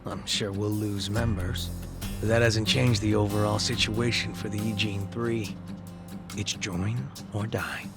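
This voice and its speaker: A man voicing a weary gang leader, tough but soft-hearted, in a game cutscene. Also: fairly low pitch, fairly even intonation, measured pace. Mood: tired. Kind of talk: video game cutscene acting. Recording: game audio with music behind.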